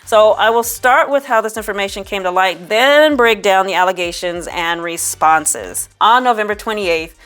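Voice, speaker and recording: A woman hosting an online commentary, reading out posts and text messages in a measured, explanatory way. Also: medium pitch, a bit bright. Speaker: YouTube commentary host. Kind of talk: scripted commentary, reading posts and texts aloud.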